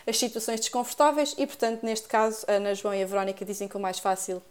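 A woman's voice: mid-range voice, little pitch movement, quick pace, darkish tone. A woman with a European Portuguese accent, co-hosting a podcast and summarizing stories in an explanatory way. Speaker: podcast co-host. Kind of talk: scripted podcast narration.

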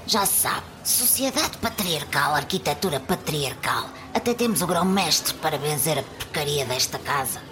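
A sour woman of a comic radio soap. Her European Portuguese is dry and indignant, pitched quite low for a woman. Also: expressive, moderate pace, hardly any pauses, a bit bright, a little hiss or hum. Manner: bitter, dry.

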